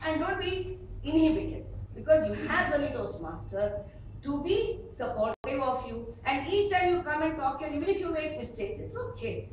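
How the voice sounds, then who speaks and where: A middle-aged woman with an Indian accent giving an instructional speech to an audience, expressive and emphatic, with dramatic pauses. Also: high-pitched. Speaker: public speaking trainer at a speakers club. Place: echoey meeting room with an audience. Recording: echoey hall from far off, noisy.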